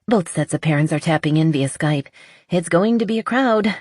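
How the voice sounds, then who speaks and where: Woman reading as a brisk, organized young wedding planner. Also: fairly low pitch, animated, a little mellow, no room sound, clean studio sound, pitched above the performer's own voice. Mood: tired, explanatory. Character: Pallas, tired businesslike young female wedding planner.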